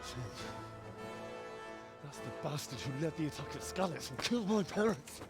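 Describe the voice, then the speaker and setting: A man's voice: a little high, lively intonation, normal speed, over music, natural voice. An actor playing an anxious, bitter young man in a medieval video game. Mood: bitter, shocked. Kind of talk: scripted video game cutscene acting.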